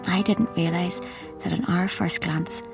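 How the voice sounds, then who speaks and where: A woman's voice: fairly low pitch, steady tone, a little slow, creaky, over music. An actress playing a grieving, guarded Irish woman journalist in a radio drama. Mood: wistful. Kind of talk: radio drama narration and dialogue.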